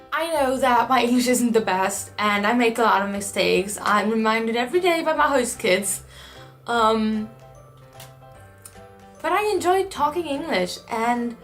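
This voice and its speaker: A woman's voice: fairly high pitch, a bit bright. Earnest young German woman vlogging. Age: young adult. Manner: earnest, reflective, encouraging. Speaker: au pair vlogger. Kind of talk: casual talk to camera.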